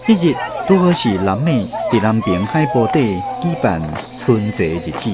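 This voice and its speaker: A man with a Taiwanese accent narrating a literary radio drama as an expressive storyteller. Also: on the low side, moderate pace, over loud music, over a noisy crowd.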